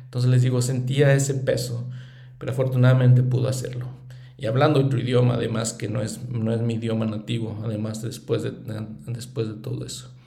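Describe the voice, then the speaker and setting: A man's voice: medium pitch, level tone, a little fast, gravelly, mellow, reverberant. A middle-aged man giving a reflective religious lesson, earnest and thinking aloud as he speaks. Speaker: scripture study teacher.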